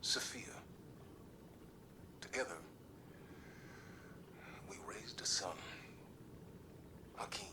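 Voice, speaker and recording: Older male commander, low, breathy near-whisper, measured. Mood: reflective. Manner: measured, reserved. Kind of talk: video game cutscene.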